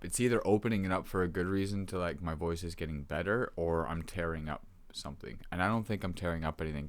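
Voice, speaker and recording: A man vlogging to his camera at home, with a sore, rough throat, talking casually and rambling with many ums and restarts. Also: rumbling low, dry recording.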